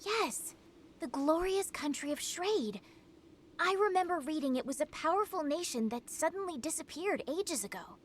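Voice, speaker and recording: A woman's voice: high voice, animated, normal speed, slight background noise. A woman playing an earnest, well-read monster hunter in a video game cutscene. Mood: thoughtful, earnest.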